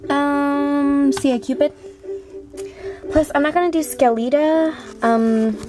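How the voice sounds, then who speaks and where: A woman's voice: high voice, fairly even intonation, takes it slowly, music in the background. A woman chatting casually and apologetically to online viewers as an amateur voice auditioner. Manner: self-deprecating, apologetic.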